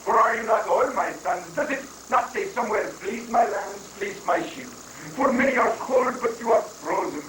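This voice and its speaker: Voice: medium pitch, expressive, normal speed, close to an everyday voice. A performer playing a pompous, fatherly guru who hands out absurd advice in mock-scriptural style, in a dramatic reading of a satirical play. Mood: pompous, benevolent. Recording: echoey room, far from mic, noisy.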